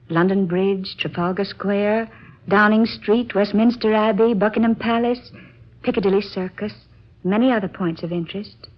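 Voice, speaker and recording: A woman's voice: mid-range voice, lightly acted. Actress as earnest woman diarist, vintage radio drama. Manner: measured, deliberate diary-like recital of places and dates. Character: earnest woman traveler reading her journey diary, seeking a mysterious house. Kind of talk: 1940s radio acting, scripted narration. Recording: dull vintage radio recording with music. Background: music.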